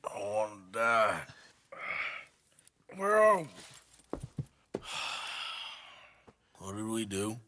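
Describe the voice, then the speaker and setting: An actor playing a sardonic, weary outlaw in a video game cutscene, with a blunt, grumbling American Western drawl. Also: male voice, lowish voice, big pitch swings, drawn-out words, long pauses, bright, forceful tone, slight background noise, full character voice. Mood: weary, rueful. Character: sardonic, weary, rough male outlaw cowboy, thirties.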